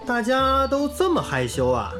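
Young english teacher, kind and earnest, voice goes up and down, music behind, radio drama. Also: male voice, very high voice, wildly swooping pitch, normal speed, full character voice. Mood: encouraging. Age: young adult. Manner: clear, encouraging classroom delivery. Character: earnest, kindly young male English teacher, newly arrived. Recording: music under the dialogue.

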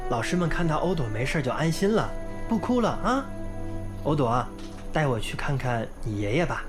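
A woman performs a gentle village teacher in a radio play. Her voice is low yet animated, patient and encouraging in manner. Music is clearly heard behind her. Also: moderate pace. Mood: reassuring. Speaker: schoolteacher. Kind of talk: radio drama acting.

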